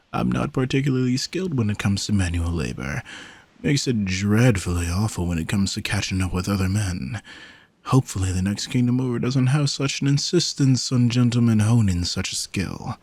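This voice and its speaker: Vain, teasing gentleman sea monster for audio roleplay, played by a man: lowish, formal, haughty delivery, very dry close sound. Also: lively intonation, normal speed, breathy edge, dry recording. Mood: smug, flirty. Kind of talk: scripted audio roleplay, spoken to the listener.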